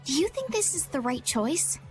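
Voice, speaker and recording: High-pitched, earnest young heroine. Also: female voice, big pitch swings, normal speed, a little mellow. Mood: anxious. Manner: polite, earnest, concerned. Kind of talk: video game voice acting. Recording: music under the voice.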